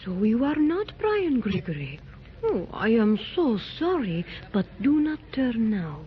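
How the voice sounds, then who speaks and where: A seductive scheming adventuress. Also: female voice, on the high side, big pitch swings, measured pace, a little hiss or hum. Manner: purring, coaxing, speaks of herself by name. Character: mysterious seductive foreign woman, scheming adventuress. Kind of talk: 1930s radio acting. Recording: dull 1930s radio transcription.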